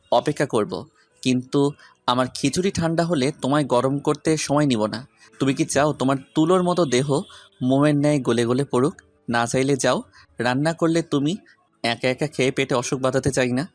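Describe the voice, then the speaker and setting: A man's voice: mid-range voice, moderate pace, no room sound, lightly acted. Male actor as stern young lecturer in romance audio drama; curt, commanding, teasing; dry recording, faint music underneath. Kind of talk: acted dialogue in an audio romance story.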